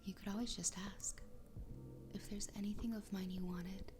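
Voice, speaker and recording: A woman playing an adoring, obsessive fox girl wife in an ASMR roleplay, whispering breathily and close to the microphone in a slow, intimate, soothing way. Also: medium pitch, fairly even intonation, over music, close to an everyday voice. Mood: devoted, intense. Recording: close whisper with clear background noise.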